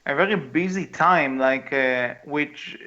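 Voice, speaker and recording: A man's voice: takes it slowly, pushed and bright. A man co-hosting a podcast in casual discussion, speaking in hesitant, stop-start phrases. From outside the United States. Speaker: podcast co-host. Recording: dull, low-fidelity mic.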